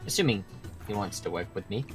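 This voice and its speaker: A man's voice: on the low side, lively intonation, a little fast, over music, natural voice. A man playing a self-righteous centuries-old quest leader in an improvised game roleplay, explaining things insistently. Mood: measured, persuasive.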